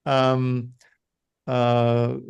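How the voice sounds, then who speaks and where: A man with a gravelly, mid-range voice co-hosts a casual webinar. He speaks haltingly, circling back over words and stumbling now and then, and he names his own stutter. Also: medium pitch, completely flat delivery, a little mellow. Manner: halting, repeats words, self-described stutterer. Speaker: webinar co-host.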